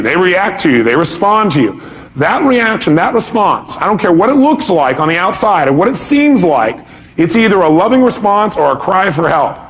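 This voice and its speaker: A man with a distinctly high-pitched voice leads a motivational seminar. He speaks emphatically, poses rhetorical questions to his listeners, and acts out people's reactions as he drives his key points home through repetition.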